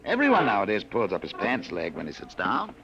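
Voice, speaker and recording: A man's voice: fairly low pitch, huge pitch swings, fairly quick, very noisy. An actor playing a falsely polite, smug male pursuer with a pointed, insinuating manner in 1950s radio acting style. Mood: smug, probing. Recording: vintage radio broadcast with music.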